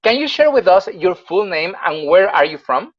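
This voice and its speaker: Chatty interview host, dull call audio. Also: male voice, sits high, big pitch swings, normal speed. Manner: friendly, chatty, laughs often, stumbles over phrasing. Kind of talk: casual remote interview.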